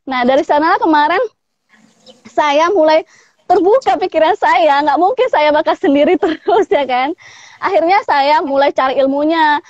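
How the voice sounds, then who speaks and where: A woman's voice: sits high, moderate pace, a little mellow, no room sound, lo-fi sound. A woman from Palembang in her thirties, a food business owner chatting casually in an interview over a video call, with frequent laughs. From Palembang, Indonesia. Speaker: pempek business owner, guest.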